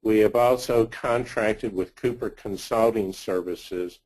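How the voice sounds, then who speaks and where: A man giving a slide presentation of financial results as a company executive, speaking haltingly in short phrases. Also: fairly low pitch, darkish tone, poor audio.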